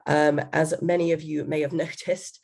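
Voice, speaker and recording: A woman with a croaky voice opening an online event as host and handing over to the moderator. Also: low voice, little pitch movement, moderate pace, darkish tone. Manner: apologetic, scripted welcome. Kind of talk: opening remarks.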